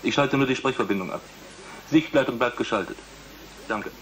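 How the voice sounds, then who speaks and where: A man's voice: medium pitch, brisk, fairly bright tone, slight background noise, natural voice, rough recording. A male actor playing a matter-of-fact engineering student in a German radio play, speaking in technical terms. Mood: businesslike. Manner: sober, technical.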